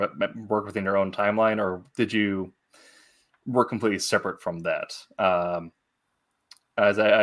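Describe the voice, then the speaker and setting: A man's voice: lowish voice, a bit bright. A man hosting an interview in a casual, conversational way, asking rambling, long-winded questions. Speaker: interview host.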